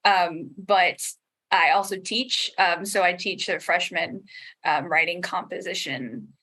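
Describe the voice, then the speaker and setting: Bright-voiced young woman in casual discussion. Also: lowish voice, unhurried, poor audio. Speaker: panel guest, PhD student and teacher.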